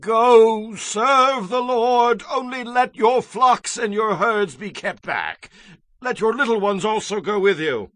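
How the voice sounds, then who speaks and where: A proud, volatile king of ancient Egypt in an audio Bible drama. His voice sits high and sounds dry and close. He is theatrical and commanding, stretching phrases for emphasis. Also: takes it slowly, a bit bright, no room sound. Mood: agitated, commanding.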